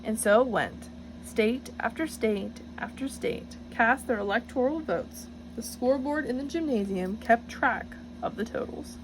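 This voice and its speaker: A woman reading a picture book aloud to children in an encouraging, teacherly way, pausing to address them directly with questions. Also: fairly high pitch, background noise. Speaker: storybook reader for children, student teacher.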